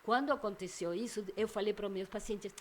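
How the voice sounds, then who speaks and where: An older woman from Argentina talks with a clear Argentine Spanish accent, since Spanish is her first language. She is a guest in a relaxed interview, recalling things thoughtfully and often restarting a phrase to find the right word, in a mid-range voice. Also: mid-range voice, fast, a little hiss or hum. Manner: thoughtful, searching for words, frequent restarts. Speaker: guest, psychologist. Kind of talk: casual interview chat.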